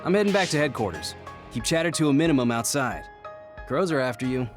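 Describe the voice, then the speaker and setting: Man acting matter-of-fact captain, lively intonation. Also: mid-range voice, fast, lightly acted. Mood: casual, encouraging. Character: easygoing but authoritative military squad captain, adult man. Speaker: squad captain. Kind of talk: anime game voice acting. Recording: music behind.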